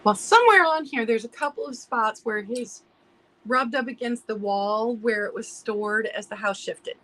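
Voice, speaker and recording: A woman hosting a live online vintage sale in casual chat, a little hoarse from allergies and clearing her throat now and then, greeting viewers by name as she describes items. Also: a little high, normal speed, a bit bright, poor audio. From United States.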